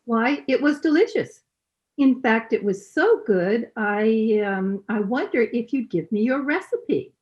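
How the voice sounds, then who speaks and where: A woman's voice: a little high, expressive, unhurried. A woman playing a catty, condescending society lady in an old-time radio sitcom, with sugary, arch delivery and dull video call audio. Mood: feigned sweetness.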